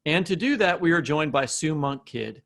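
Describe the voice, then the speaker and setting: A man's voice: a little high, expressive, moderate pace, creaky, a bit bright. A man with an American accent co-hosting a podcast, making introductions and asking questions in a conversational way. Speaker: podcast co-host.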